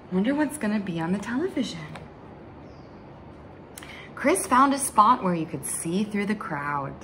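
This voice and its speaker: A young woman reading a children's picture book aloud in an expressive, dramatic way, drawing out words and dropping into hushed asides. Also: medium pitch, a little slow, pauses often, slight background noise. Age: young adult. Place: small bright room indoors at home.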